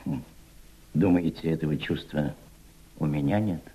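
An actor in a Russian radio drama playing a grave, worried senior Soviet statesman who speaks to a colleague in a deliberate, paternal, emphatic way. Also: rumbling low, mellow, husky, heavily acted. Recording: dull, lo-fi old radio play recording.

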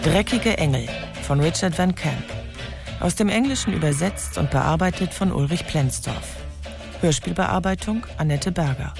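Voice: mid-range voice, animated, moderate pace, vocal fry. An announcer reading the closing credits of a radio play in a scripted style. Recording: music behind the voice. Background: music.

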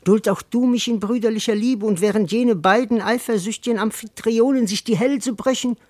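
An actor playing a wheedling, boastful comic servant in a classical verse radio play, speaking in a theatrical, declamatory way. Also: male voice, high-pitched, normal speed, darkish tone. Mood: wheedling, cajoling. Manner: theatrical, declamatory, swooping delivery.

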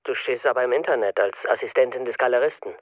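An actor playing a sober systems consultant, a smitten city single, matter-of-fact in a German radio play. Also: male voice, mid-range voice, fast, no echo, phone-quality sound, lightly acted. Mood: tentative, hopeful.

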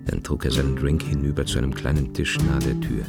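Super low gravelly guy narrating a radio play, reading a short story, music behind him. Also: flat delivery, brisk. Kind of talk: scripted literary narration.

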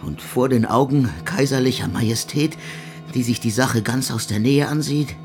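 Guy playing tormented ironic composer, radio drama, creaky mid voice, mocking and theatrical, music behind him. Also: medium pitch, normal speed, lightly acted. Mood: sardonic, relishing. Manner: mocking, savouring archaic phrasing, theatrical. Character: suffering male composer, ironic, sardonic, morbidly theatrical. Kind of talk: literary radio play monologue. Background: instrumental music.

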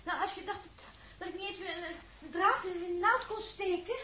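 A woman's voice: extremely high pitch, normal speed, crowd noise. An actress playing a plucky, untrained young woman aboard a spaceship in a 1960s radio drama. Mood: strained, willing. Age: young adult. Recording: noisy 1960s radio recording, distant.

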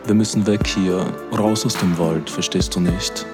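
An actor playing a pleading, urgent companion in a radio play, coaxing in short, halting phrases. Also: male voice, low voice, fairly even intonation. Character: pleading, urgent male companion lost in a forest. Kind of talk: slow radio-play acting. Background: music.

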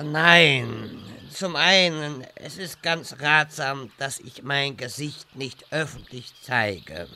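A man's voice: a little high, pushed and bright, clear, resonant tone, full character voice. A man playing a grumpy, evasive old wizard mentor who rambles peevishly in a parody audio drama.

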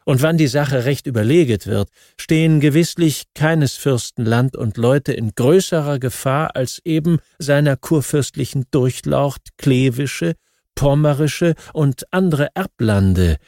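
Mellow male audiobook narrator. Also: mid-range voice, a little slow, no echo, clean studio sound. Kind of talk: scripted non-fiction narration, history.

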